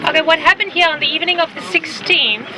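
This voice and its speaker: A South African woman with a loud voice, explaining things as the guide on an outdoor site inspection. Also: extremely high pitch, fast talker. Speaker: company representative guiding a site inspection. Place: outdoors at an industrial site with vehicles. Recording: very noisy outdoor recording with vehicle sounds.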